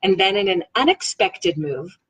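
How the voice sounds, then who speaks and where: A woman reading a self-help book aloud in an informal, expressive and playful way. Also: mid-range voice, normal speed, husky. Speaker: read-along host reading a book aloud.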